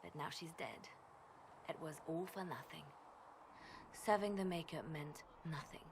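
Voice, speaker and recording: A woman voicing a grave, guarded and devout spymaster in a video game, measured and brooding. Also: deep voice, noisy background. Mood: bitter grief. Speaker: spymaster with agents. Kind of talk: dramatic video game voice acting.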